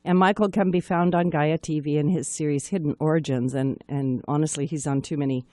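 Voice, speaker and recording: A woman's voice: low voice, fairly even intonation, fairly quick, dark tone, dry recording. Chatty woman radio host, dry studio sound. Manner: chatty, enthusiastic announcer. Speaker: radio talk show host. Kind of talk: live radio talk show hosting.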